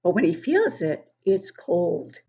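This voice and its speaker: A woman reading a picture book aloud to young children in an expressive, explanatory way. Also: lowish voice, slow, slightly rough, muffled recording. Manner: animated, explanatory, child-directed.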